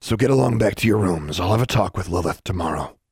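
A man voicing a folksy, calm school custodian in a storybook reading. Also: sits low, quick pace, creaky, husky, no echo, clean studio sound, full character voice.

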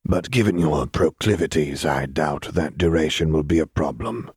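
A clinical male doctor, acted by a narrator in a storybook reading, low in pitch. He is formal, terse and detached, with a very dry, close sound. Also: moderate pace, darkish tone, slightly rough, no room sound, heavily acted.

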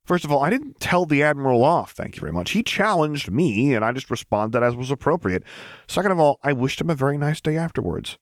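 A man's voice: fairly high pitch, big pitch swings, a little fast, no room sound, pitched above the performer's own voice. A man voicing a good-humored, polite young man with a primly indignant streak in an audiobook. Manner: bright, cheeky, primly emphatic. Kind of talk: audiobook character dialogue.

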